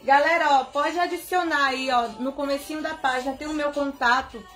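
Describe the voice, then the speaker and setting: Young woman, high voice; affectionate live-stream host shout-outs, phone mic, soft music under. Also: steady tone, moderate pace. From Bahia, Brazil. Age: young adult. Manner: chatty and affectionate, greeting viewers by name. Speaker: singer hosting a live stream. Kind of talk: casual live-stream chat. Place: bedroom indoors, seated on bed. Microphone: phone camera at arm's length.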